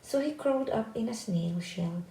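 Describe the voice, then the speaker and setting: A woman's voice: on the high side, lively intonation, normal speed, warm tone, roomy sound, slight background noise, poor audio. A woman storyteller reading a children's tale aloud as scripted narration.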